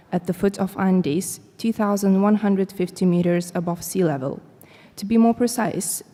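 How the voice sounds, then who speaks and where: A woman reads a prose passage aloud in a steady, measured way. Her voice is dark and mellow. The long reverb of a lecture hall hangs around every phrase. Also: mid-range voice. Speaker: student reading a text aloud. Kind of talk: reading a prose text aloud.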